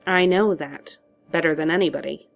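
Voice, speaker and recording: A woman voicing a sly male villain in a narrated story, softly taunting in a slow, nonchalant drawl. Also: low-pitched, vocal fry, dull audio. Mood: knowing. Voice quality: soft.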